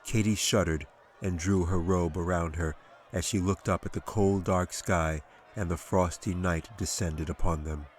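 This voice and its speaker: Low-pitched male fantasy storyteller. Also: steady tone, a little hiss or hum. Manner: measured dramatic storytelling. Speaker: author reading own audiobook. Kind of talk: scripted fantasy audiobook narration.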